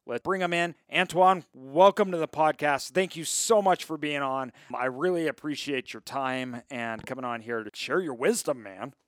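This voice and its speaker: A man's voice: a little high, normal speed, few pauses, a bit bright, no echo, studio quality. A man hosting a casual podcast interview, talking conversationally with his guest. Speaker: podcast host.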